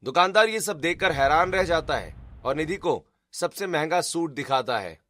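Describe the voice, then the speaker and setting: High-voiced man narrating a story. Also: lively intonation, moderate pace, a bit bright, faint music behind. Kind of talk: scripted cartoon story narration.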